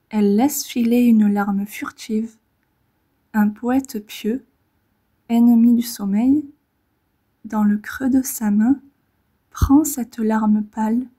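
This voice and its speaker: A woman reading poetry in a gentle, measured, relaxing manner, with a soft breathy voice that often fades toward a whisper and short phrases set apart by pauses. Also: mid-range voice. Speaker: poetry reader.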